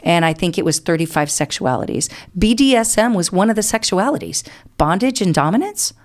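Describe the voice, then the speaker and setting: A woman's voice: fairly low pitch, animated, a little fast. A middle-aged American woman answering as an interview guest, explaining things earnestly in a conversational way.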